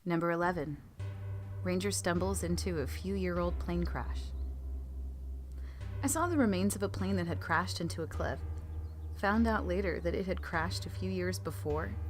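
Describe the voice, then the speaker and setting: A woman reading scripted true scary stories as a storytelling narrator. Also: on the low side.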